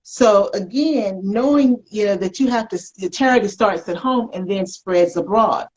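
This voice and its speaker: A woman hosting a conversational faith-themed interview, speaking in an emphatic, reflective way with drawn-out phrases. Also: medium pitch, darkish tone, dull audio. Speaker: talk show host.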